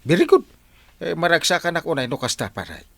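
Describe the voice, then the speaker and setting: A man's voice: on the high side, expressive, brisk, vocal fry, a bit bright, slight background noise. A man playing a folksy village captain who hems and gives advice in a radio comedy drama, with an Ilocano accent. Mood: counselling, reassuring.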